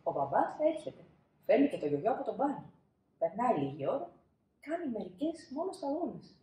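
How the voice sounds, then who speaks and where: A woman in her thirties narrating a children's storybook expressively, with dramatic pauses and emphasis. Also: fairly high pitch, very animated, mellow. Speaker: storybook reader. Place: small indoor room, seated. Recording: roomy indoor echo.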